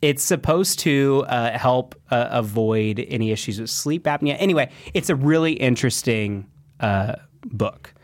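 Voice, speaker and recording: A man's voice: mid-range voice, no room sound. Rambling man co-hosting, dry sound. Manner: rambling, halting with fillers. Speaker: radio show co-host. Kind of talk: casual chat.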